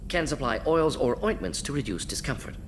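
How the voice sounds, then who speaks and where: A man voicing a brisk, eccentric alien scientist-doctor in a video game, speaking in clipped, deadpan clinical phrases with dry amusement. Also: mid-range voice, a little hiss or hum, lightly acted. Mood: clinical, matter-of-fact.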